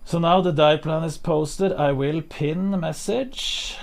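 A man in his thirties with a Norwegian accent, chatting slowly and hesitantly on a live stream from his home setup, with plenty of fillers and restarts. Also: a little high. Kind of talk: casual live-stream chat. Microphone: studio mic on boom arm, headphones.

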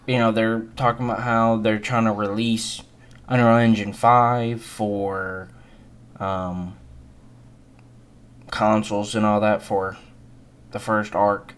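Male, early 20s, lowish pitch, casual gaming commentator, unscripted, halting and resigned delivery. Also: flat delivery, smooth. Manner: rambling, hesitant, resigned. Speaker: gaming video creator.

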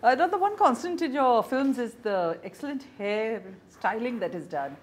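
An older woman from India with an Indian English accent, hosting an interview with brisk, direct questions. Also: fairly high pitch, expressive. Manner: brisk questions, short affirmations. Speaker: interviewer host. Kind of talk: conversational interview. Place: small warm-lit room.

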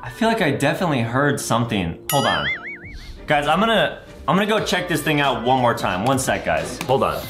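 Young dude, gaming host, swoopy over the top delivery, repeats himself, music playing. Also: male voice, medium pitch, brisk, echoey room. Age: 20s. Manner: exaggerated, animated, repeats phrases. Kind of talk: excited gameplay commentary and skit for viewers.

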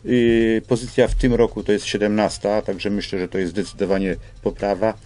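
An older man explains things as a guest in a radio interview, with halting phrasing, on a studio microphone. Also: lowish voice, flat delivery, a little mellow. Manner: halting, hesitant phrasing with repeated starts. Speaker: school representative, radio guest. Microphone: studio microphone on boom arm.

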